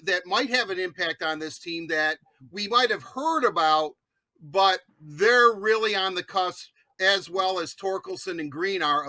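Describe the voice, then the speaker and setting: A high-pitched man with a very bright, sharp voice hosts a sports chat. He rambles playfully through his questions in a close, dry sound. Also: no echo. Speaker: sports show host. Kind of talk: casual chatty interviewing.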